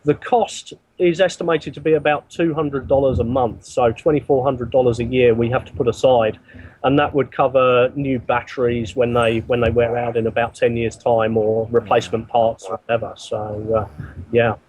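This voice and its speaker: A man being interviewed as a guest, speaking in a halting way and often repeating his words. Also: medium pitch, fairly even intonation, darkish tone, rough recording. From not from the country he works in. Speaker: interview guest, mission builder. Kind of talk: remote interview answers.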